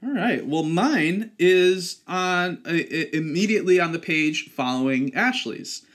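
A man co-hosting a casual podcast, talking with enthusiasm and acting out lines in a lively way. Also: a little high, measured pace. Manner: enthusiastic, acts out quoted lines. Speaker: podcast co-host.

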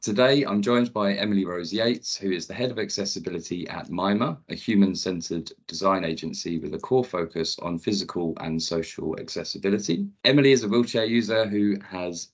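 A man's voice: low-pitched, fairly quick, hardly any pauses, breathy edge, highs cut off, soft background music. A man hosting a podcast, friendly with many ums as he interviews a guest. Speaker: podcast host. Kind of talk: scripted podcast intro and interviewing.

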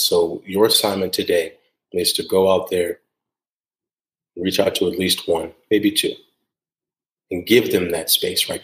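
A man's voice: sits low, reverberant, low-quality recording. A man hosting a solo podcast episode, talking earnestly and repeating phrases for emphasis, often adding "right?". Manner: frequent tag "right?". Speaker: podcast host.

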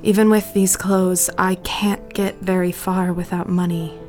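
A woman's voice: on the low side, little pitch movement, a little slow, a little mellow, soft background music, lightly acted. A woman playing a fearful, drunken, unhappy wife who pleads in an audio drama. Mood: pleading, secretive.

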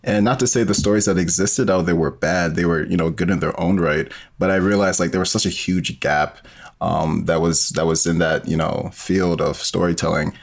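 A man's voice: low voice, steady tone, moderate pace, creaky. A young man talking casually as a guest in an interview, with plenty of conversational filler. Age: young adult. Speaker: guest, podcast co-creator.

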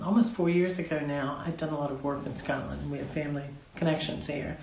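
An older woman, secretary of a community trust, talking through an interview in a wry, thoughtful way. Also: low voice. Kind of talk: conversational interview. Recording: roomy echo.

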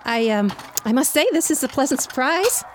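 Woman as a high-voiced, bossy hostess wife. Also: lively intonation, moderate pace, noisy background. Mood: polite, awkward. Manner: polite, firm, exasperated with husband. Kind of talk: audio drama comedy acting.

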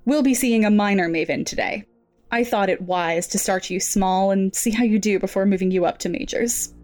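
An actress playing a cool, businesslike research supervisor with a clipped, formal manner in an audio drama. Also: female voice, mid-range voice, normal speed, darkish tone, soft background music, close to an everyday voice. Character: brisk, guarded, businesslike female research supervisor.

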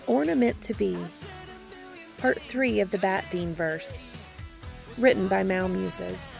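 A woman hosting and narrating fan fiction in a scripted, expressive storytelling read. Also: mid-range voice, slow pace, gravelly, over music. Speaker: podcast host and reader.

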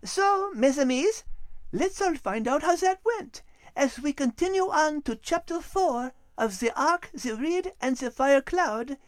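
A woman playing a refined, prim and playful female animal host with a French accent, speaking deliberately. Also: high voice, lively intonation, no room sound. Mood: poised, inviting.

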